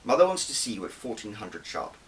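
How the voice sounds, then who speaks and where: A man's voice: fairly low pitch, very animated, a little fast, recorded from a distance, slight background noise, voice pushed up. A man voicing a brusque, disapproving intelligence department head in an audiobook, curt and bossy. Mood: brisk, urgent.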